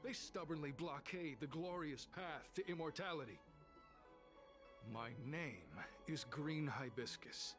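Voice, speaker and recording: Formal, persuasive cult recruiter, music behind. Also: male voice, mid-range voice. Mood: scornful, fervent. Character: smooth-talking male immortality-cult recruiter, secretive and devout. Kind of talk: scripted video game dialogue.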